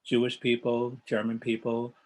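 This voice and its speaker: A man's voice: medium pitch, monotone, moderate pace. A man moderating a festival Q&A, putting questions in hesitant, stop-and-start phrases. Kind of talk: interview questioning.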